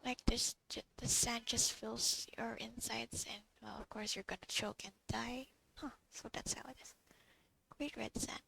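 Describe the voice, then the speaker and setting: A woman gamer giving casual, off-the-cuff commentary, halting and muttering to herself as she plays. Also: a little high, steady tone, vocal fry, pushed and bright, slight background noise, low-quality recording. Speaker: video game player.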